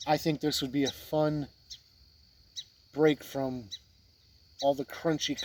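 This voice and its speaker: A man giving a casual, unscripted review as a video host, plain-spoken with halting phrasing, with wind on the recording. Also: fairly high pitch, a little mellow. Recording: wind noise on the mic.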